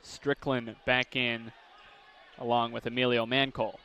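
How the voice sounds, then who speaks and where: Bright, energetic basketball play-by-play man. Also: medium pitch. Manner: rapid calls that rise sharply on big plays. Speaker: play-by-play announcer. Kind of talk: live basketball play-by-play commentary.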